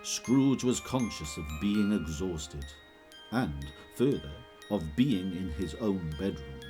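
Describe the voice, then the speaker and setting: Narratore, voce grave, racconto misurato, musica leggera sotto. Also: male voice, deep voice, lively intonation, music in the background. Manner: measured, vivid storytelling. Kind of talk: scripted storybook narration.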